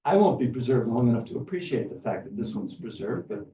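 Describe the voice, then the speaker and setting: An older man giving informal, rambling remarks to a gathering, often halting and restarting his phrases. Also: mid-range voice, sing-song, warm tone. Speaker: academic philosopher and speaker at a conference. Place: echoey room at a conference. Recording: roomy, distant microphone, faint background noise.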